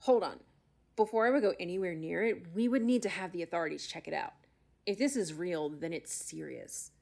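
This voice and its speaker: An actress playing a frustrated, incredulous middle-aged zoo doctor, telling her story emphatically with dramatic pauses in a podcast-style audio drama. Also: lowish voice, creaky, darkish tone. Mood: skeptical. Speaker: expert interviewee.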